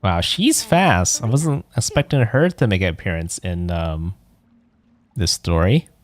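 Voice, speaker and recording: A man streaming a video game with casual, hesitant commentary, musing aloud in halting phrases. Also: low voice, animated. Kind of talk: casual let's play commentary.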